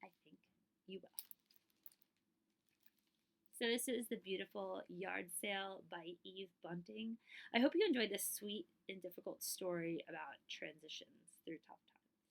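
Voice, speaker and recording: A woman reads a children's picture book aloud in a mid-pitched voice with a noticeable creak. Her delivery is measured and expressive, like a librarian at story time. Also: poor audio.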